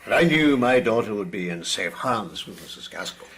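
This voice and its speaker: Expressive elderly Victorian clergyman. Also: on the low side, moderate pace. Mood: courteous, considered. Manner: courteous, considered, old-fashioned phrasing. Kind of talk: radio drama dialogue.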